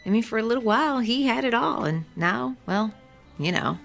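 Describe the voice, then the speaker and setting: Creaky-voiced clinic receptionist. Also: female voice, fairly high pitch, animated, normal speed, a bit bright, faint music behind. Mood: rueful gossip. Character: gossipy clinic receptionist, matter-of-fact and rueful. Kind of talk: radio drama acting.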